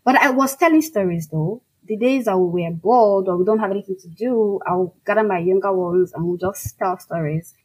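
Nigerian lady, igbo first language, bit husky, talking emphatically like a writer in an interview. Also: medium pitch, normal speed, few pauses, low-quality recording. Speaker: fiction writer being interviewed. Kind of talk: conversational, reflective interview answers.

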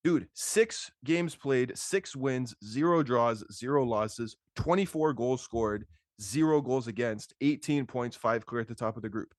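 A young man chatting casually about football as a podcast host, excitable and slangy with emphatic repetition. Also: mid-range voice, unhurried, no echo. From Toronto, Canada. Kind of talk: casual sports chat.

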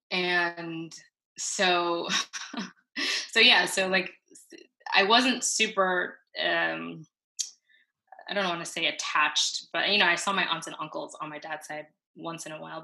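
A woman, an interview guest talking thoughtfully and haltingly in a casual reflective conversation. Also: on the low side, creaky, sharp tone, rough recording. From New York City. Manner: thoughtful, halting, with many fillers and restarts.

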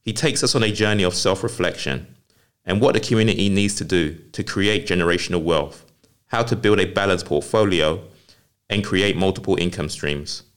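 A man's voice: deep voice, moderate pace, fairly bright tone, clear, resonant tone. A male podcast host who reads a scripted introduction, then asks casual questions, often repeating words and saying "fair enough".

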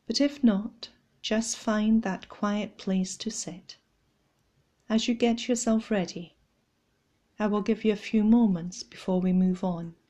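Woman doing guided prayer meditation, really slow, relaxed mellow tone, gentle and measured. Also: medium pitch.

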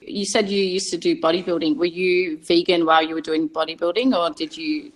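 A woman's voice: fairly low pitch, fairly even intonation, fairly quick, poor audio. A woman hosting a podcast, asking friendly questions and laughing readily in casual chat. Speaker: podcast host.

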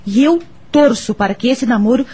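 A woman's voice: a little high, moderate pace, dark tone, breathy edge. A woman acting in a radio soap opera as a supportive confidante, encouraging and emphatic.

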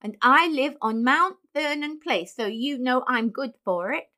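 A woman voicing an indignant, haughty old man in a boy's body, with a shrill treble, in an audiobook. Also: moderate pace, bright, forceful tone. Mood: angry, defiant. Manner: haughty, commanding. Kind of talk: audiobook character acting.

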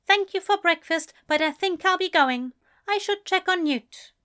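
A woman doing a prim, fussy gentleman. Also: normal speed. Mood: indignant. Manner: prim, lilting, higher and brighter.

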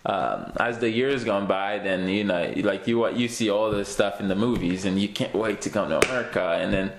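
A man's voice: fairly low pitch, moderate pace, echoey room, a little hiss or hum. A young man from Haiti, a guest in a casual interview, talking easily with many fillers and frequent laughs.

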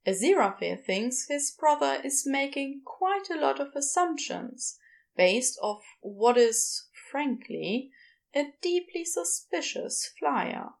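A woman's voice: high voice, very animated, slow, creaky. A woman narrating scripted fiction as an audiobook storyteller.

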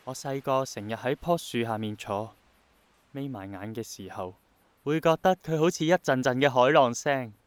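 An actor playing an idealistic, gentle young man in a radio drama, reflective and earnest, with a Hong Kong accent. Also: medium pitch, moderate pace, clear, resonant tone, lightly acted. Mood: wistful, earnest. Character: idealistic, persistent young man longing for rain to return.

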